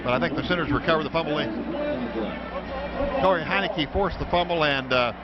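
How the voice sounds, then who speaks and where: A man's voice: a little high, a little fast. An American man calling live football play-by-play on the radio from a stadium booth. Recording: dull, noisy old radio broadcast.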